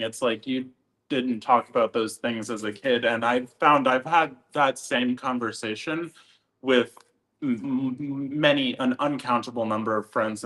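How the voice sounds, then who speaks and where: Slow-talking man in a group discussion. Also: mid-range voice, a bit bright, rough recording. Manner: hesitant, repeats words while thinking.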